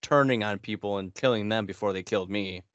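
An American man in his thirties chats casually as co-host of a gaming podcast. His voice is on the low side, recorded very dry with a slightly dull tone. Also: fairly quick, no echo. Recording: slightly dull, band-limited, dry sound.

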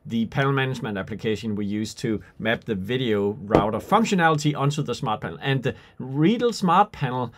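A man in his forties giving an unscripted software tutorial, thinking aloud and halting as he demonstrates. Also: medium pitch, animated. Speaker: product demonstrator.

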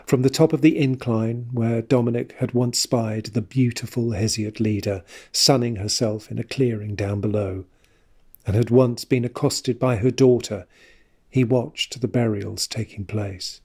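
A man narrating an audio drama in a measured, somber, literary storytelling style. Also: mid-range voice, steady tone, a little mellow, husky.

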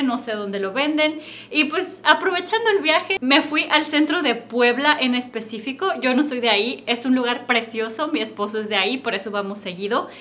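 A woman's voice: fairly high pitch, sharp tone. Chatty Mexican woman vlogging makeup. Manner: chatty and upbeat, friendly direct address. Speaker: beauty vlogger. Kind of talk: casual makeup haul talk to camera. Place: bright room indoors at home.

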